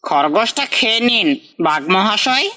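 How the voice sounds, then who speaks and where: A woman's voice: mid-range voice, big pitch swings, normal speed, bright, forceful tone. A woman voicing a small, hungry, cunning fox in a cartoon, servile to superiors and grumbling to itself. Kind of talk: scripted cartoon dialogue.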